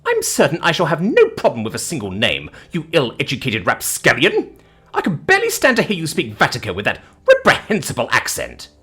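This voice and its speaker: A man's voice: fairly high pitch, huge pitch swings, a little fast, pushed and bright, breathy edge, heavily acted. A man voicing a haughty, indignant noblewoman in an audiobook, pompous and admonishing in manner. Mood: exasperated. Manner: pompous, admonishing, ornate speech. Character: haughty, indignant, scolding noblewoman, a snobbish busybody.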